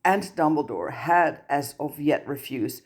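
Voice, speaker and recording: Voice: mid-range voice, lively intonation, unhurried. A narrator reading fan fiction aloud as scripted story narration. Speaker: audiobook narrator.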